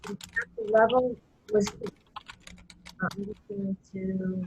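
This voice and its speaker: A woman's voice: medium pitch, big pitch swings, drawn-out words, darkish tone, a little hiss or hum. A woman hosting a casual video call meeting, rambling with many fillers and restarts. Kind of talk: casual meeting host talk. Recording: muffled video call audio.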